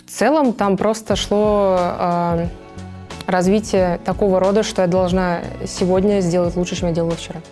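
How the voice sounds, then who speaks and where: Mellow young woman, reflective. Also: lowish voice, steady tone, moderate pace. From Moscow, Russia. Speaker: esports player. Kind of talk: reflective interview answers. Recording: music behind the voice.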